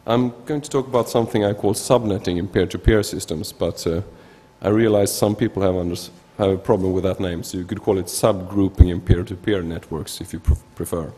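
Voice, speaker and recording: A man's voice: low voice, fairly even intonation, fairly quick, hardly any pauses, mellow, breathy edge. Swedish-accented man in a reverberant hall. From Sweden. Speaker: researcher presenting at a conference. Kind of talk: informal technical conference talk. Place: large indoor conference hall, at a lectern. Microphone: gooseneck lectern microphone.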